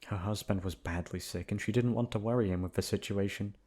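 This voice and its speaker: Low-voiced man narrating a bedtime story. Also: brisk, darkish tone. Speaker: voice actor reading a bedtime story. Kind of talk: soft storybook narration, ASMR sleep aid.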